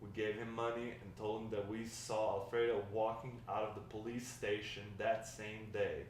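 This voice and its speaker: A man's voice: lowish voice, little pitch movement, moderate pace, close to an everyday voice. Wry old grandfather recounting shady past, played by a man, table read, heard from afar in a roomy, faintly noisy space. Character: wry old grandfather spinning tales of his drug-dealing past. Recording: distant, very echoey room, faint noise.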